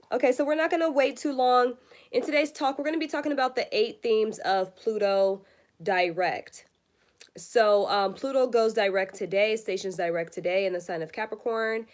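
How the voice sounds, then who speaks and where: A woman's voice: a little high, fairly even intonation, moderate pace. A woman hosting a casual spiritual livestream, a little congested, talking straight to her viewers. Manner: chatty, addresses viewers directly. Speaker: astrology livestream host. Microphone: phone or webcam, live stream.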